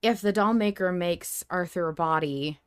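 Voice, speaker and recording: A woman co-hosting a podcast in casual fan discussion, animated and exclamatory, swearing freely. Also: lowish voice, moderate pace, clear, resonant tone. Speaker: podcast co-host.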